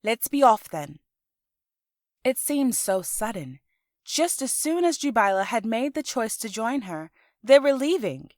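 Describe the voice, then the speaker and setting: A woman reading scripted storybook narration in an expressive, unhurried manner. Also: on the high side, dry recording, studio quality. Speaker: author and narrator.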